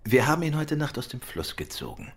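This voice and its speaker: A man acting a courteous, probing, self-assured gentleman detective in a German radio crime drama, questioning politely and with measured care. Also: medium pitch, big pitch swings, breathy edge.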